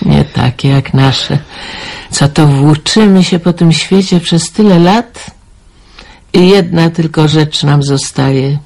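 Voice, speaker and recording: A woman's voice: low voice, creaky, dark tone, husky, heavily acted. An actress in a radio drama playing an anxious older mother, fretful and sighing as she speaks. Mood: wistful. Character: anxious older mother fretting over her daughter. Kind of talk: 1990s radio drama acting.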